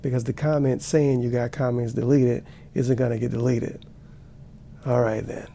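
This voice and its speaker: A man's voice: mid-range voice, little pitch movement, moderate pace, mellow, slight background noise. A man giving sarcastic, profane reaction commentary while watching a video. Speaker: commentary streamer.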